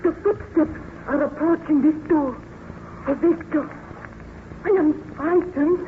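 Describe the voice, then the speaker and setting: A woman's voice: high-pitched, takes it slowly. A woman playing a frightened, pleading, anxious heroine in theatrical old-time radio melodrama style. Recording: tinny, noisy vintage radio recording.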